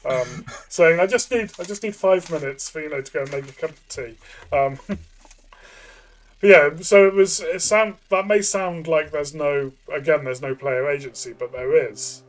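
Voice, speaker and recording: A man co-hosting a casual game review chat, thinking aloud in a halting way and repeating phrases. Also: a little high.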